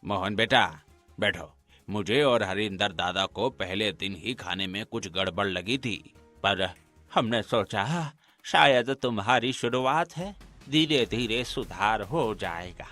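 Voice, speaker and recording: A man's voice: on the low side, lively intonation, slow pace, sharp tone, soft background music, exaggerated voice. A man voicing a grave, caring older uncle in a village cartoon.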